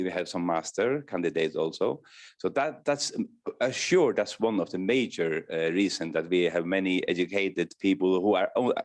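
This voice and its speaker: A man on a conference panel making a short, plain, slightly halting comment. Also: deep voice, dry recording.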